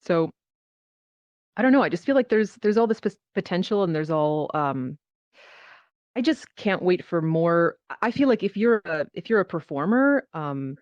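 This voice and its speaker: Rambling woman performer, low-fi dry voice chat. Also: expressive, normal speed, no echo. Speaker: panelist, performer. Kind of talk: casual chat, personal anecdotes. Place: virtual reality social app session. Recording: muffled online voice chat.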